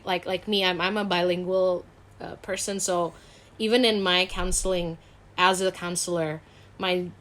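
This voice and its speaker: A woman's voice: fairly low pitch, a bit bright. A woman from Indonesia speaking as a guest psychologist in a casual interview, candid and self-reflective in an informal way. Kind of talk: casual video interview chat.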